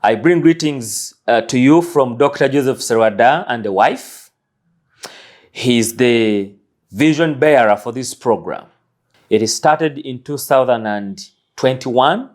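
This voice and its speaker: A man's voice: mid-range voice, slow pace. A man hosting an interview, introducing his guest in an earnest, emphatic way. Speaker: host.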